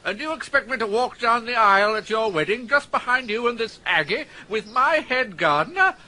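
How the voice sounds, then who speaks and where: Flustered elderly aristocrat: high, bright, fussy and blustering. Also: male voice, expressive, a little fast, full character voice, low-quality recording.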